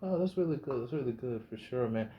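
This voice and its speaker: A man's voice: medium pitch, lively intonation, fast, lo-fi sound. A man, hosting a podcast in a hesitant, filler-heavy casual way. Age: 20s. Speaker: podcast host. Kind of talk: casual interview chat.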